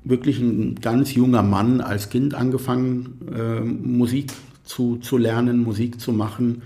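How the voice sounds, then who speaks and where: A middle-aged man from Israel whose native language is Arabic, speaking as a podcast guest in a reflective, halting way as he searches for words. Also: mid-range voice, level tone, dark tone. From Israel, near Tel Aviv. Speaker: interview guest, composer.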